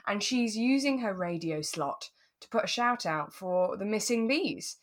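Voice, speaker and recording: A British woman co-hosting a relaxed chat podcast, giggly and self-mocking as she tells things in an animated way. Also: medium pitch, a little slow. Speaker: podcast co-host. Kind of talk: relaxed chat about a radio drama.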